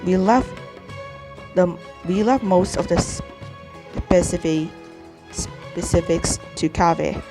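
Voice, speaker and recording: Woman reading haltingly over game music. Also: lowish voice. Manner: halting read-aloud with stumbles and repeated words. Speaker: gaming streamer. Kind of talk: reading game dialogue aloud during a playthrough. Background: video game music.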